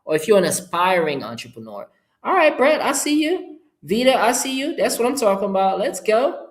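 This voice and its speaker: A middle-aged man from Haiti, a Creole speaker, gives an informal, upbeat motivational webinar pitch in short punchy phrases. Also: very animated, moderate pace. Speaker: entrepreneur hosting an online presentation. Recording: roomy, echoing sound.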